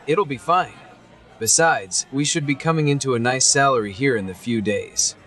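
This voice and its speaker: Man as easygoing young adventurer, medium-pitched. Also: normal speed, fairly bright tone, lightly acted. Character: easygoing young male adventurer, casual and hopeful. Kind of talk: fantasy visual novel acting.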